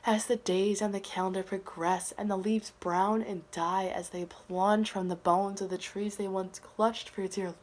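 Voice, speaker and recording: A woman performing a slam poem in a dramatic, earnest and deliberate delivery. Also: medium pitch. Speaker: slam poet. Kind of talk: slam poetry recitation.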